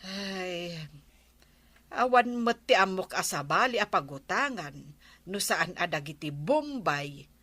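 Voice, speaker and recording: A woman's voice: on the low side, animated, slow pace, pushed and bright, no echo, exaggerated voice. An actress playing a frank, gossipy village friend in a regional radio comedy drama, drawling out her words. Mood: frank, dismissive.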